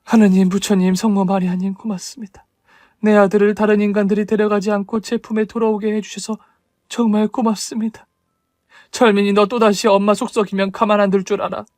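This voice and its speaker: A man's voice: sits high, flat delivery, fast talker, hardly any pauses, dry recording, pitched above the performer's own voice, natural voice. A man voicing a middle-aged mother who scolds her son in a raised, emphatic voice but speaks lovingly. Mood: grateful.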